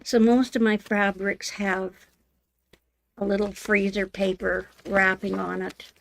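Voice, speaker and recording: An older woman hosting a live sewing demonstration, talking in a homey, unhurried way with many ums, her voice slightly hoarse. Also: medium pitch, flat delivery, poor audio. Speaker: sewing channel host. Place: home sewing room.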